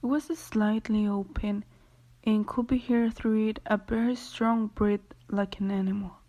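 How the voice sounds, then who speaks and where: Mellow-voiced woman narrating a radio story. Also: medium pitch, little pitch movement, measured pace. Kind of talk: scripted radio drama storytelling.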